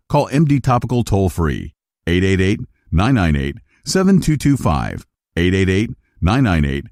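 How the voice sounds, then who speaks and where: Male commercial voice, low, highly animated, pushy repetition, dry booth. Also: no echo. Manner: urgent, repeats phone number. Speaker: commercial announcer. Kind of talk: advertisement read.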